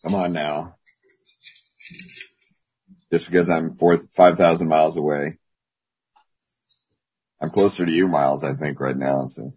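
Hoarse man, Bible class teacher, very low husky voice, rambling delivery, dull lo-fi call audio. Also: fairly even intonation. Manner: rambling, with many pauses. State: hoarse, losing his voice.

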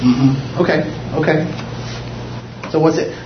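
A somewhat high-voiced man from the United States leads a small Bible study, talking in a loose, rambling way full of restarts. He is recorded from across an echoey room on a muffled, dull mic, with a faint hiss underneath. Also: fairly even intonation, slow pace. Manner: rambling, with frequent restarts and fillers. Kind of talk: informal Bible study teaching. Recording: muffled, roomy, distant mic.

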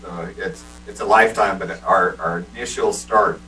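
A man's voice: low-pitched, fairly even intonation, normal speed, sharp tone, distant mic, a little hiss or hum, low-quality recording. A man in a group Bible discussion, explaining firmly and repeating key phrases. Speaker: Bible study participant.

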